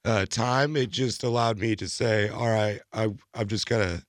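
A young American man with a hoarse voice, chatting casually as a podcast co-host in a rambling, slangy way. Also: fairly low pitch, fairly even intonation, moderate pace, no echo, studio quality. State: hoarse, recovering from illness. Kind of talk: casual chat.

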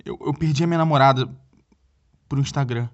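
A man's voice: mid-range voice, fairly quick, lightly acted. Needy young Brazilian boyfriend, played by a male actor, hesitant and stammering, comedic audio drama, slightly dull recording. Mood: distressed. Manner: hesitant, stammering, repeats himself. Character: flustered, needy boyfriend pleading for help by phone. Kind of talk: comedic audio drama, one side of a phone call.

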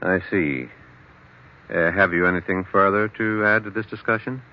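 Guy as a stern old-radio psychiatrist, deep voice, measured and clinical, dull muffled sound. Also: steady tone, close to an everyday voice. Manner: measured, clinical questioning with thoughtful hums. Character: stern, probing, famous state psychiatrist. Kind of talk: 1940s radio drama acting.

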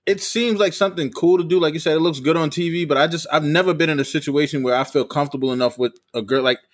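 Fast-talking man in his late thirties. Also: on the high side, no room sound, studio quality. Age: late 30s. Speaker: podcast host. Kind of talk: casual chat.

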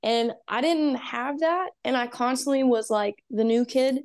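Young woman musician being interviewed, earnest and upbeat, kinda high voice, lots of um and you know. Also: measured pace, darkish tone. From Texas. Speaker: musician guest. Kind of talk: casual interview.

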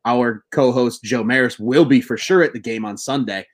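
A man in his twenties hosting a casual sports podcast, excitable and swearing, slightly buzzed. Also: mid-range voice, normal speed. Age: 20s. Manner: excitable, swearing, laughing, bro-ish banter.

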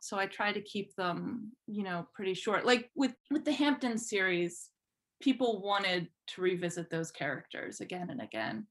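A woman's voice: fairly high pitch, fairly bright tone. A woman speaking casually as an interview guest, chatty and self-deprecating in an informal way. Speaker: podcast guest, fan fiction writer.

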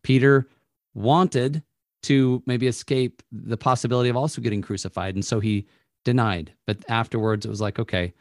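A man's voice: medium pitch, steady tone, moderate pace, a little mellow, no echo, studio quality. A man hosting a faith-based conversation, speaking reflectively and affirming his guest. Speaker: host.

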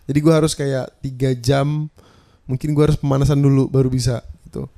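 A young man, a guest musician, talking in a relaxed, hesitant way and often restarting his sentences. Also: mid-range voice, brisk, creaky. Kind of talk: casual interview chat.

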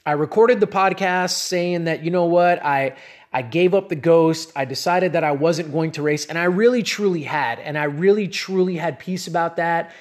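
A man in his late thirties talks alone into a podcast microphone in a loose, rambling way. His voice sits a little high for a man, and he stops often with "um" and "you know" and starts his sentences over. The delivery is relaxed and conversational, like catching up with regular listeners. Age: 30s. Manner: casual, rambling, with frequent fillers and restarts. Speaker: podcast host and cycling coach. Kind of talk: solo podcast monologue.

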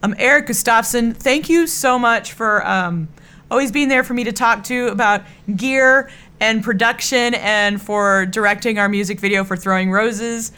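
A woman's voice: fairly high pitch, moderate pace, pushed and bright, smooth. A middle-aged woman with a Texan accent, an earnest, effusive and rambling singer chatting casually as an interview guest. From Texas, USA. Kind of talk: casual radio interview chat.

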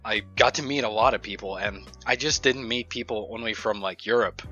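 Blunt, bright-voiced young man from Ukraine. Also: on the low side, fairly quick, muffled recording, faint music behind. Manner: blunt, swears freely. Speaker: podcast co-host. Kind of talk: casual chat.

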